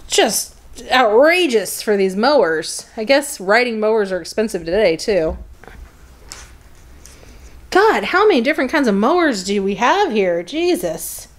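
Woman, fairly high pitch, conversational, wry and sardonic, casual commentary, reading copy aloud. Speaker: host of a catalog flip-through series.

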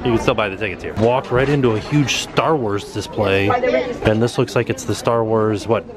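A man's voice: fairly low pitch, normal speed, a loud crowd. Chatty man vlogging in a busy store. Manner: chatty, reads prices aloud. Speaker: vlog host. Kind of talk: casual shopping vlog narration. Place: indoor retail store.

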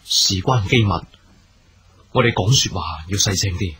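A male actor playing a grave, deliberate army commander in a period radio drama, speaking formal, measured Hong Kong Cantonese. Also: lowish voice, a bit bright, slightly rough. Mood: confiding, earnest. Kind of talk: theatrical radio drama acting.

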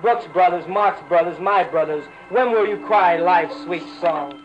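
A man's voice: sits high, measured pace. A man, a jazz poet, giving a spoken-word reading of Beat poetry. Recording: dull old 1960 radio recording, music.

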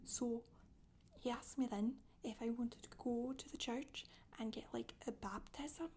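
A woman in her thirties playing a chatty Scottish witch in soft-spoken, breathy, deadpan ASMR storytelling with a put-on Scottish accent. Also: a little high, a little slow, a little hiss or hum, lightly acted. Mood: casual, confiding. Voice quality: breathy, whispery. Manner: hesitant, colloquial, deadpan.

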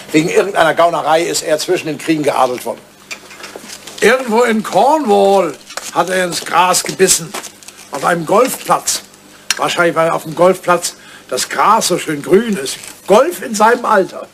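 Excitable old lord, high creaky voice. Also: male voice. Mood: animated, wry. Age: older. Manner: theatrical, impulsive. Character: excitable, wistful old English lord in love. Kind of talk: German radio comedy drama acting. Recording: old radio play recording, faint noise.

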